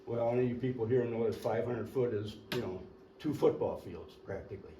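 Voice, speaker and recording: An older man, a local resident, telling a rambling, folksy history at a public meeting and clearing his throat now and then. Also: medium pitch, brisk, warm tone. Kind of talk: storytelling public comment. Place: community meeting room. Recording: echoey meeting room, distant mic, faint hiss.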